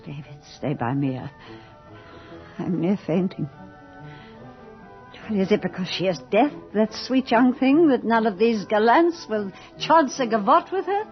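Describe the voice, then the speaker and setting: A woman's voice: medium pitch, animated, unhurried, pauses often, natural voice. An actress playing a proud, imperious aging noblewoman in an old-time radio drama, with grand, formal, theatrical diction. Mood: agitated, critical. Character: proud, imperious aging noblewoman hosting her last ball. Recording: dull old radio recording under music.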